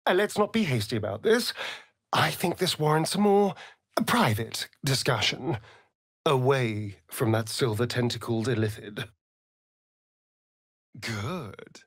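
An actor playing a sly, vain vampire rogue in a video game, with a silky, theatrical, mocking drawl. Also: male voice, mid-range voice, expressive, takes it slowly, pauses often, slightly rough, no echo, studio quality. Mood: arch, wary, then smug, dry. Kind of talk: video game character voice lines.

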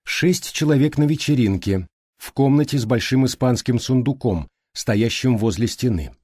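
A man reads a novel aloud with deliberate, measured phrasing. His voice is mellow and slightly husky, at an ordinary male pitch. The recording is dry, with no room sound. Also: animated, no room sound. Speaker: audiobook narrator. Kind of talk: scripted literary narration.

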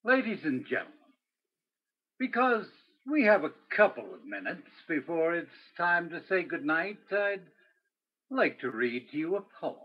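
A man playing a grand, dramatic 1940s radio host who recites deliberately, with a husky, creaky voice. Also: a little high, sing-song, highs cut off, heavily acted. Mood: courteous. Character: theatrical mid-century radio host reciting verse.

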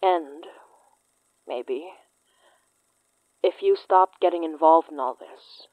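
A woman in her twenties playing a wry, teasing, trauma-worn investigator in a naturalistic horror audio drama, with a deadpan manner. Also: low voice, slow pace, dry recording, phone-quality sound. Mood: guarded, earnest. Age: 20s.

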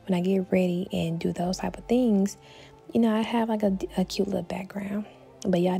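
Tired, gravelly-voiced young woman vlogger. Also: on the low side, mellow. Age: young adult. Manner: chatty, slangy, often half-whispered asides to viewers. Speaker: lifestyle vlogger. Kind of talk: casual voice-over narration.

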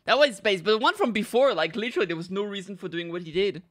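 A young woman streamer giving casual, expressive, joking reaction commentary full of slang. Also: mid-range voice, very fast, background noise.

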